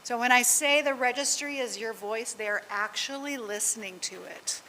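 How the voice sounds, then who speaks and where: A woman moderating a live session, loud, excitable and enthusiastic. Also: on the high side, normal speed. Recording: echoey hall, faint noise.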